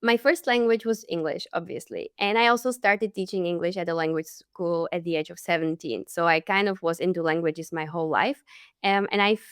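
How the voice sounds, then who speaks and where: A young woman from the Czech Republic speaks as a podcast guest with a smooth, clear voice. She is friendly and laughs easily as she recounts her path, and the sound is dry and close. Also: on the low side, steady tone, a little fast, fairly bright tone, no room sound. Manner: friendly, laughs often while telling her story. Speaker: language coach guest. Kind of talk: casual interview chat.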